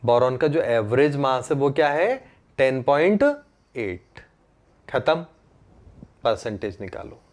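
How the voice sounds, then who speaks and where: A man's voice: medium pitch, sing-song, slow, pauses often. A man with an Indian accent teaching a live online chemistry class, informal, mixing in English terms and often checking that students follow with short questions. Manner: mixes English terms into Hindi.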